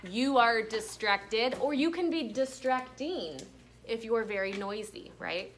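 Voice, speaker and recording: A woman's voice: a little high, expressive, unhurried, a little hiss or hum. A woman teaching an elementary class, explaining words and reading aloud in an interactive way, often prompting with questions. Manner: prompting with questions and check-ins. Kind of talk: interactive classroom vocabulary lesson and read-aloud. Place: classroom with pupils.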